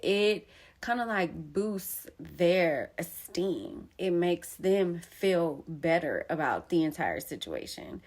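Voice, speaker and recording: A woman's voice: on the low side, lively intonation, takes it slowly, darkish tone. A young woman telling her own story of betrayal in an emphatic, casual way with plenty of slang. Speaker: poet and storyteller. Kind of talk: candid personal storytelling.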